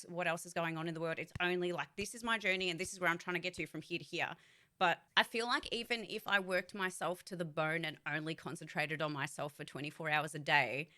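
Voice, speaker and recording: A woman's voice: on the low side, bright, forceful tone. A young woman voice actor chatting frankly in a casual vlog, swearing freely and restarting her sentences as she talks. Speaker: voice actor and streamer. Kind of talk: candid casual vlog chat.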